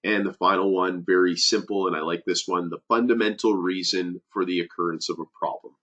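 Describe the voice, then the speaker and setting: Deep-voiced guy w a stuffy cold, safety advisor teaching, lots of uhs and restarts. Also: fairly even intonation, a bit bright, muffled recording. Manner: halting, many fillers and restarts. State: nasal from a cold. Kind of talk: webinar presentation.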